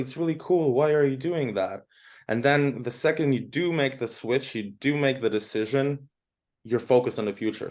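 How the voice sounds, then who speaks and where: A man's voice: fairly low pitch, darkish tone. A man from Croatia in his thirties, a guest in an interview, talking casually and at length with many fillers. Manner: chatty, rambling, frequent fillers.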